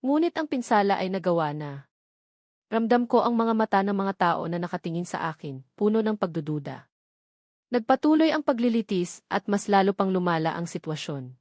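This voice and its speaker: A woman's voice: medium pitch, very animated, moderate pace, darkish tone, dry recording. Woman, Filipino accent, story narrator, dramatic scripted first-person delivery, dry recording.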